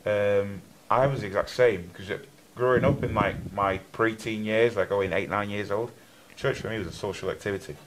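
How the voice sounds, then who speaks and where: Young man, from Germany, interviewer, lowish voice, rambling questions. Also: normal speed, background noise. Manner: rambling, restarts questions often. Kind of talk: casual church interview.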